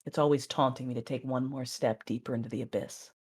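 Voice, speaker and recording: A woman acting as a controlled, proud Sith mother, narrating and speaking in a measured, intense way in an audio drama. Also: extremely low pitch, steady tone, darkish tone, slightly rough. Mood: brooding. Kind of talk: first-person dramatic narration and dialogue.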